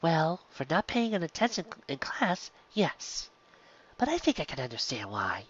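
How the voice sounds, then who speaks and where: A woman voicing a kindly pony schoolteacher, patient and concerned, with a gentle, measured manner in a story reading. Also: sits low, big pitch swings, slightly rough, dull audio, voice pushed up, heavily acted. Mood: gently curious.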